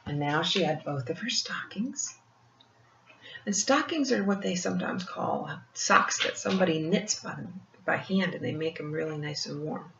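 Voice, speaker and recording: An older woman reads a picture book aloud like a grandmother, pausing for gentle explanations and questions to the child. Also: sits low, expressive, creaky. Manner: gentle teacherly asides, asking the child questions. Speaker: grandmother storyteller reading to children. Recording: muffled, roomy home recording with faint noise.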